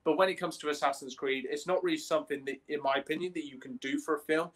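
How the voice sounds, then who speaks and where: Young guy on a podcast call, voice a bit high, explaining stuff like a keen fan. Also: steady tone, fast. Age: young adult. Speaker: podcast co-host. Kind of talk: casual discussion of games and films. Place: small room at home, on a video call.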